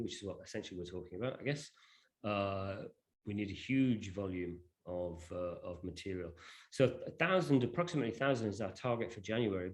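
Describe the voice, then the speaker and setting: A man's voice: low-pitched, a little mellow. A man giving an informal online presentation and answering questions, explaining in a hesitant, conversational way. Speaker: presenter of an education product.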